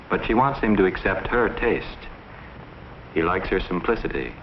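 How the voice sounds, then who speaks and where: A man narrating a 1950s educational film in a measured, analytical manner from a script. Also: low voice. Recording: muffled 1950s film soundtrack, faint noise.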